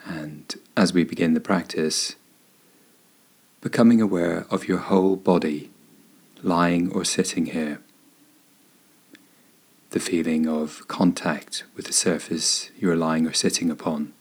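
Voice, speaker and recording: Male meditation guide: deep, breathy-husky tone, gentle and soothing, unhurried delivery with room to pause. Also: fairly even intonation. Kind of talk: guided body scan meditation narration.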